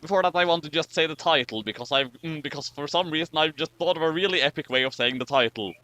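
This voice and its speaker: A man hosting and narrating in a casual, wordy, deliberate way. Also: on the high side, bright, forceful tone, no room sound. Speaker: channel host and narrator. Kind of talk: casual banter and comic story reading.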